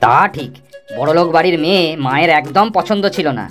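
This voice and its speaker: A woman's voice: deep voice, animated, fairly quick, soft background music. A woman voicing an easygoing, teasing village father in a children's cartoon, playful and reasoning in manner. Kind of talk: Bengali children's cartoon acting.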